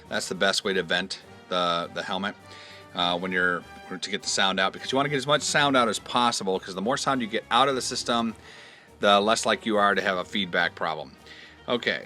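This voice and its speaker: A man with a fairly low, clearly bright voice walks through a do-it-yourself tutorial. He explains each step in a casual, conversational manner, like a practical demonstrator, with faint music in the recording. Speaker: host of an instructional product video.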